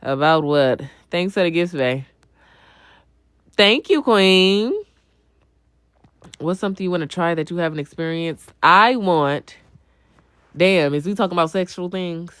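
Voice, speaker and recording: A woman's voice: lowish voice, a little slow, long pauses, fairly bright tone, clean tone, no echo. A young adult woman chatting casually on a livestream as she answers viewers, blunt, slangy and full of swearing, often repeating a phrase for emphasis. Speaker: livestream host.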